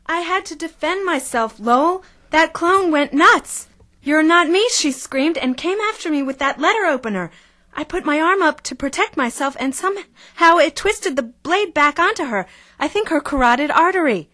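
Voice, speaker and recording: A woman's voice: high-pitched, expressive, normal speed, bright, forceful tone, no echo. Woman acting as a cool, seductive actress, dry sound. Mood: agitated. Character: cool, seductive, self-possessed female actress with ruthless streak. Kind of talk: audio drama acting.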